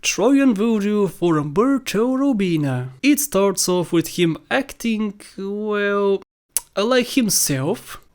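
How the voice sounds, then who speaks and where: A man's voice: high voice, animated, slow pace. A man hosting a casual video game countdown, commenting in an informal, jokey and emphatic way. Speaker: video host.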